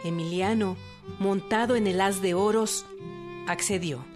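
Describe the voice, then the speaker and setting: A woman's voice: mid-range voice, fairly even intonation, a little slow. A woman reading scripted narration for a historical radio drama. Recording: prominent string music bed.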